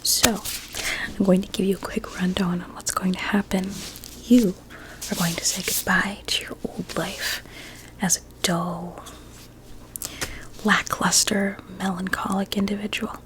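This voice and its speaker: A woman playing a sweetly coaxing, sinister doctor in an ASMR roleplay, with a deliberate, soothing and formal manner. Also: medium pitch, little pitch movement, natural voice, low-quality recording. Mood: hushed, persuasive. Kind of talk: whispered ASMR medical roleplay.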